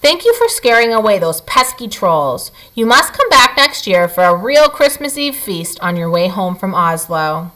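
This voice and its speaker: A woman's voice: mid-range voice, big pitch swings, normal speed, hardly any pauses, bright, forceful tone, low-quality recording. Woman reading as a hearty, grateful storybook father. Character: Kiri's father, grateful hearty grown man. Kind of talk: storybook read-aloud.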